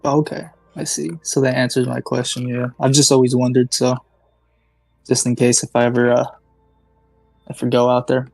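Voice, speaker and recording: Flat-voiced hesitant male caller. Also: mid-range voice, moderate pace, vocal fry, no room sound, soft background music, poor audio. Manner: hesitant, says "I see" often. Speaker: viewer asking questions on call. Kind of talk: casual chat.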